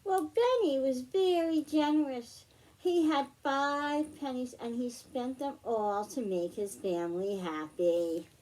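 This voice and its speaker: A woman's voice: high voice, takes it slowly, a little mellow, rough recording. A woman hosting a children's library storytime, reading aloud and explaining things in simple phrases for young listeners.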